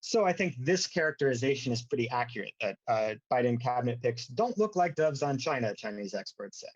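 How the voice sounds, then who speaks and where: A man giving an informal academic lecture as a university lecturer, with a wry, sarcastic bent and a halting, hesitant delivery. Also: medium pitch, sing-song. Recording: dull lo-fi audio.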